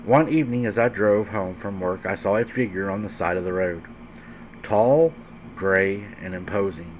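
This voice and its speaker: A man with a fairly low voice narrates a horror tale in a dramatic, suspenseful manner. His phrasing is deliberate and emphatic. The recording is dull and lo-fi, with faint noise behind him. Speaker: host and narrator of a paranormal story show. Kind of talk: dramatic scary-story narration. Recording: dull lo-fi sound with faint background hum.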